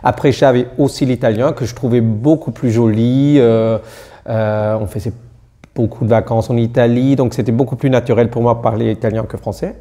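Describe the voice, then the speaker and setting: A man in his thirties with a German accent speaks in a mellow, darker tone at an ordinary pitch. He searches for words, filling gaps with "euh" and restarting his sentences. The setting is a relaxed seated interview in a small room. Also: normal speed. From Austria. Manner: hesitant, many fillers and restarts, searching for words. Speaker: doctor, interview guest. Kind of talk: casual interview chat in a learned language. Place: small indoor studio room.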